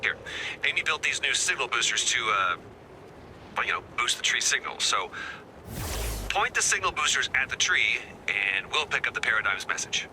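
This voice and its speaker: A man's voice: medium pitch, big pitch swings, normal speed, noisy background, close to an everyday voice. A man voicing a wry, slightly awkward resistance leader in a video game, talking conversationally. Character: wry, hesitant male resistance leader rallying the player. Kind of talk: video game character dialogue. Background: music.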